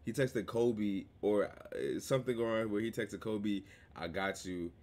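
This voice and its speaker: A man's voice: fairly low pitch, measured pace, a little mellow. A young man hosting a reaction video from his bedroom, talking in loose slang and laughing often. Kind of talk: casual reaction commentary. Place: small bedroom with gaming chair.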